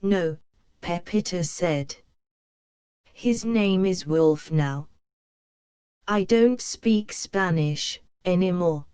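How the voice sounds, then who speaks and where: A woman reading a children's picture book aloud, slow and careful for young learners. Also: fairly low pitch, dark tone. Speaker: storybook reader.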